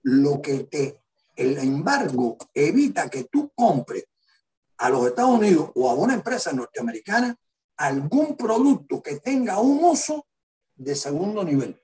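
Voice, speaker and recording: Older man, Cuban Spanish accent, emphatic interview guest, very lively intonation. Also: fairly high pitch, measured pace, poor audio. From Cuba. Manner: lively, emphatic, acts out quotes in varied voices. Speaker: guest analyst. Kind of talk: animated interview talk.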